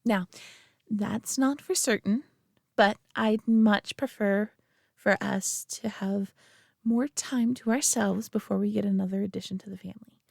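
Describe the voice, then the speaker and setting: A woman voicing a possessive, doting alien who coos over her pet human in an intimate roleplay audio drama, coddling and softly condescending. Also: mid-range voice, steady tone, measured pace, gravelly, no room sound, studio quality. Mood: fond, reassuring.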